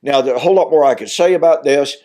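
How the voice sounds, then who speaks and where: An older man teaches as a minister, his middle-pitched voice worn thin from recent voice loss. He talks earnestly and presses hard when he drives a point home. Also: fast, dark tone. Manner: earnest, building to emphatic insistence on key points. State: weakened voice recovering from voice loss. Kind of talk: Bible teaching monologue.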